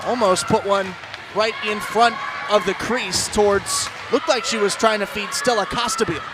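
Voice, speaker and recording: High voiced guy doing animated hockey play by play, big noisy crowd behind him. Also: normal speed. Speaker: play-by-play commentator. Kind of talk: live ice hockey commentary. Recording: loud crowd and arena noise behind. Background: ice rink crowd.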